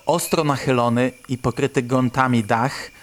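A man's voice: mid-range voice, steady tone, moderate pace, a little hiss or hum. A man hosting an audio drama show, presenting and narrating from a prepared script in Polish storytelling fashion. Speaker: host and narrator of a radio play podcast.

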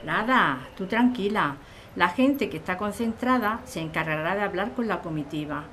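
A woman's voice: medium pitch, animated, moderate pace, a bit bright, slight background noise, lightly acted. A woman playing a practical supporter in a radio drama, reassuring and explaining things in a soothing manner.

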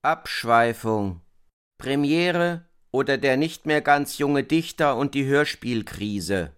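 A man presenting scripted radio narration in a measured, deliberate way. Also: medium pitch, lively intonation, smooth. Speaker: presenter and performer.

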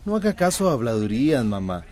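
An actor playing a proud, dismissive grown son and company assistant in radio drama dialogue, self-assured and defensive. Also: male voice, mid-range voice, very animated, fast talker, a little mellow, lightly acted. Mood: confident, defensive.

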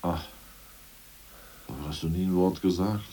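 An actor playing a hungry, scheming, irritable ex-official in a prison cell in a German radio play, with a volatile delivery. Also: male voice, sits low, normal speed, warm tone, slight background noise. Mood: exasperated. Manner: volatile, swinging from mutters to outbursts.

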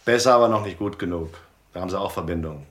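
A hunted gangster who has quit the mob, in a radio play. His voice is low, his manner guarded and terse, tough with anxiety underneath. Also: racing, a bit bright. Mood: tense, urgent. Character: hunted male gangster dropout, tough yet anxious. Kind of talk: German radio drama acting.

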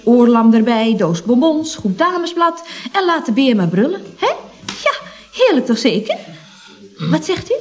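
A woman's voice: on the high side, darkish tone, close to an everyday voice. A woman acting a chatty, breezily teasing secretary at a building firm in a radio comedy, with a gushing, mock-cosy manner.

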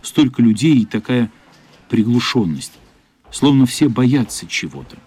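A man narrating a literary radio play in a measured, somber, dramatic style. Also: mid-range voice, big pitch swings, a little mellow, husky. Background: faint music.

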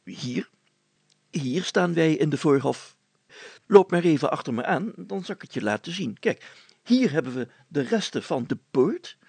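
A man voicing a pompous, fussy older village mayor in a storybook, formal, deliberate and full of hesitant hemming. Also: fairly high pitch, lively intonation, vocal fry, a little mellow, husky, no room sound, exaggerated voice. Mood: searching, explaining.

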